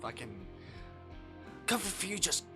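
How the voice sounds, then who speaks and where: A male streamer reading a visual novel aloud dramatically, with expressive, exasperated delivery and asides of his own. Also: fairly high pitch, slow pace. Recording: faint music behind the voice.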